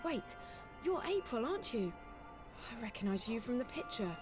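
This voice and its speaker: A woman playing an earnest, bewildered young game heroine who speaks haltingly as she searches for words. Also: on the high side, big pitch swings. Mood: confused, urgent. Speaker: video game character. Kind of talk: video game cutscene acting. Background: background music.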